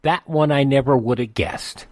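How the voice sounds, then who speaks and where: A man's voice: medium pitch, moderate pace, over loud music. An American man hosting a radio show, talking casually and directly to listeners.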